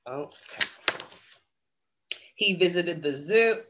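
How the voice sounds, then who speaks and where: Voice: medium pitch, expressive, slow pace, a bit bright, highs cut off, a little hiss or hum. A woman reading a children's storybook casually, with playful, theatrical asides to the audience. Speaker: drag storytime guest reader. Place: video stream in front of a green screen.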